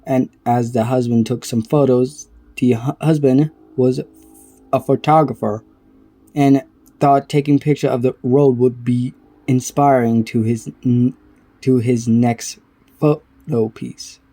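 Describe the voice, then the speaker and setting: A man giving casual reaction commentary, reading aloud haltingly and stumbling over words between offhand remarks. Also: mid-range voice, fairly even intonation, dark tone, soft background music, poor audio. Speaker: reaction video creator.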